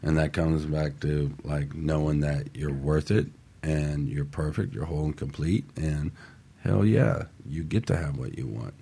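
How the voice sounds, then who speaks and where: A man with an extremely low voice that is rough and husky. He talks casually and motivationally as a radio show co-host, leaning on short, emphatic repeated phrases. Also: measured pace, mellow.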